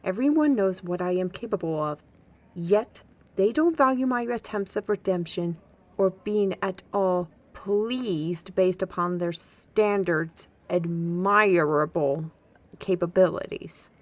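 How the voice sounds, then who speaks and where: A woman's voice: lowish voice, takes it slowly. An actress playing a proud, frustrated young artist in a fantasy audio drama, indignant and lofty in her wording. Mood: indignant frustration.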